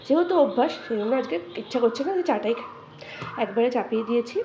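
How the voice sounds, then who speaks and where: A woman's voice: fairly high pitch, expressive, fast talker, muffled recording, background noise. A young woman with a West Bengal Bengali accent chats casually and directly to her viewers as a daily vlogger. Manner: conversational, addresses viewers directly. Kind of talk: casual vlog chat to viewers.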